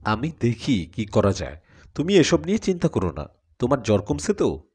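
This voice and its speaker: A man's voice: mid-range voice, wildly swooping pitch, normal speed, darkish tone, husky. A man voicing a troubled young male student in a story, earnest and reassuring.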